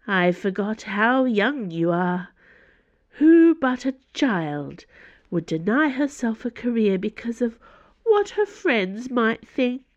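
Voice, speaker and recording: An imperious older female cardinal, as a woman narrator puts her on for an audiobook. She speaks slowly and haughtily, with wide swoops of pitch and silky, sarcastic menace. The recording is slightly dull. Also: big pitch swings, dry recording. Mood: scornful. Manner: slow, haughty, sarcastic delivery. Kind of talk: audiobook character voice.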